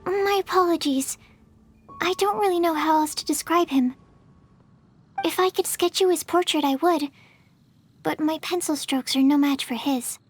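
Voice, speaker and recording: A woman's voice: sits high, moderate pace, long pauses, a little hiss or hum, lightly acted. A woman playing a shy, earnest young alchemist's assistant in a video game, hesitant, polite and apologetic. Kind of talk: English video game voice acting.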